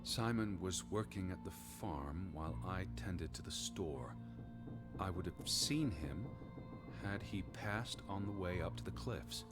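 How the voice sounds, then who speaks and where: An actor playing a reserved, anxious American naturalist in a video game drama, speaking in a formal, hesitant manner. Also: male voice, deep voice, animated, measured pace, music in the background. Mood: somber, pondering. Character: reserved, anxious American male naturalist searching for his sister.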